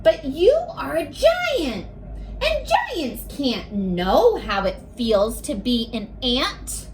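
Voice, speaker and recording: A tiny storybook ant acted out by a woman, high-pitched and earnest. It pleads slowly, stretching its words in a small, whimpering voice that swings up and down. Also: huge pitch swings, a little hiss or hum, exaggerated voice. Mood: earnest, reasoning. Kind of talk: picture book read-aloud for young children.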